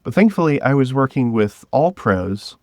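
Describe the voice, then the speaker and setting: A man speaking as an interview guest, telling stories about directing in a casual, halting way with some chuckles. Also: medium pitch, sing-song, a little mellow, no room sound, clean studio sound. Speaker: guest, director of an audio drama podcast.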